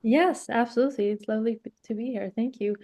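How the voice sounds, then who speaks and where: A woman's voice: fairly high pitch, quick pace, dark tone. A woman in her twenties from Sweden, a podcast guest who speaks politely and hesitantly, with frequent uhs. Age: 20s. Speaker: coaching client, podcast guest. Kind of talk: conversational interview.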